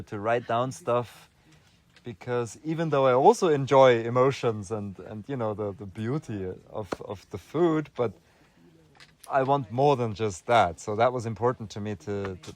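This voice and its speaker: A young man from Austria answering interview questions in a relaxed, thoughtful way, often restarting his sentences and filling pauses with "um". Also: mid-range voice. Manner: thoughtful, many restarts and fillers. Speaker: interview guest.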